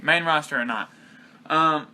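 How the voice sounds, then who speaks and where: Sharp, bright-voiced man chatting casually. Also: on the high side, measured pace, rough recording. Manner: laid-back, blunt, lots of fillers. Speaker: podcast co-host. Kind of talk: casual wrestling review chat.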